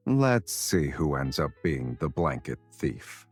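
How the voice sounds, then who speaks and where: Very deep, husky teasing charmer. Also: male voice, big pitch swings, heavily acted. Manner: unhurried, playful teasing. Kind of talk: romance game voice acting. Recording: music behind the voice.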